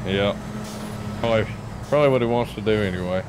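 A man's voice: fairly low pitch, fast talker. A man chatting casually with fellow players during a role-play game, over a noisy voice chat.

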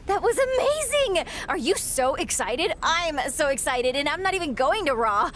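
A giddy young fan girl in a video game scene, her high voice bouncing all over as she gushes out one breathless question after another. She sounds close to hyperventilating with excitement. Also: a little hiss or hum. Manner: breathless, gushing, rapid questions. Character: overexcited young female wrestling fan. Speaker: game character. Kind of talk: video game cutscene voice acting.

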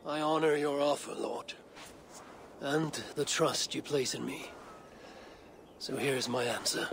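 A gravelly, proud Viking warrior. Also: male voice, a little high, steady tone, takes it slowly, noisy background. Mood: resolute, respectful. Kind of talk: dramatic video game cutscene acting.

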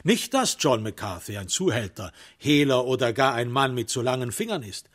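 A man narrating a literary crime radio play in a measured, dramatic way, with pointed pauses. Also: medium pitch, sing-song.

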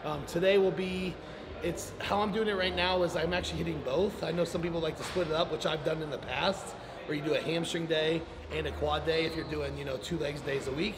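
A young man coaching a leg workout in a large indoor gym, explaining technique in a quick, encouraging way. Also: fairly high pitch, fairly even intonation, crowd noise. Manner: encouraging, instructive, quick-talking. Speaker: bodybuilding coach. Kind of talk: casual gym coaching talk. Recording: gym ambience noise behind.

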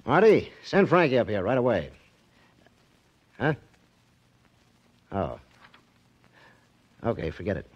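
Husky, gruff casino boss. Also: male voice, mid-range voice, big pitch swings, slow pace, long pauses, heavily acted. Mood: suspicious. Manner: slangy, curt tough talk. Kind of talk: 1940s radio drama acting. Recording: muffled old radio recording.

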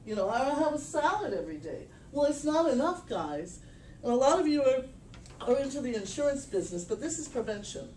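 A middle-aged woman giving an informal, animated sales pitch at a business meetup, emphatic and full of restarts, caught by a camera across a small room. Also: a little fast, a little hiss or hum. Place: small meeting room, indoors. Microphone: far camera. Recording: echoey room from far off.

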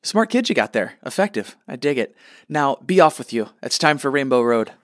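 A man acts out a smug, easily annoyed god in a comedic radio play. He talks in a wry, casual way at a middle pitch, recorded dry and close. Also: very animated, a little fast, a bit bright, no echo. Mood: amused.

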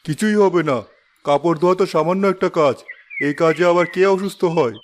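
A man's voice: a little high, fairly quick, slight background noise, close to an everyday voice. A man voicing a harsh, domineering, scolding father in a cartoon. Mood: stern, demanding. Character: tyrannical, scolding father of two children. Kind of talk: cartoon dub acting.

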